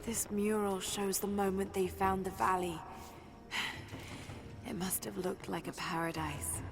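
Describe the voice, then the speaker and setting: An actress voicing a thoughtful young female archaeologist in a video game, hushed and breathy, musing to herself. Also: medium pitch, flat delivery, unhurried, over music. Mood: wistful.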